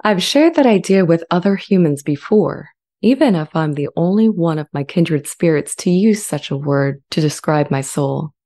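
A woman playing a gentle, thoughtful sentient AI in a dramatized reading, with a calm, measured and reflective delivery. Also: low voice. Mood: serene, thoughtful. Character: sentient female-voiced AI, gentle, serene and thoughtful. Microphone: large studio condenser mic up close.

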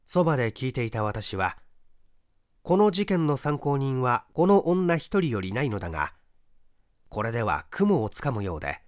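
A man reading a literary mystery as dramatised narration, in a formal, measured storytelling manner. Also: medium pitch, dry recording, clean studio sound. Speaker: audiobook reader, stage actor.

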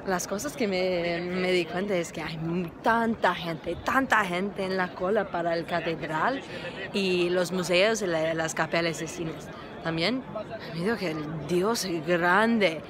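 A woman's voice: on the low side, measured pace, crowd noise. Young woman vlogging in learner's Spanish. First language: English. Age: young adult. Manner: learner's Spanish with slips and restarts. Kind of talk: travel vlog to camera. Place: outdoors in a city, phone held at arm's length. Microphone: phone at arm's length.

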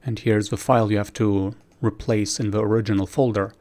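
A man's voice: on the low side, level tone, a little fast, a little mellow. A man presenting a screen-recorded tutorial, explaining each step informally.

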